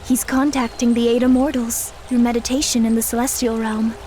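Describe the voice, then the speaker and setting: A woman acts out an earnest young woman in an audio drama. Her voice is high, and she sounds concerned and inquisitive. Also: level tone, brisk, mellow, slight background noise, close to an everyday voice.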